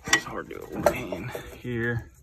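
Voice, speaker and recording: A man's voice: medium pitch, moderate pace, creaky, very noisy. A young man casually explaining, step by step, how he changes the oil on a mower while he works outdoors. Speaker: landscaper. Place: outdoors in a yard.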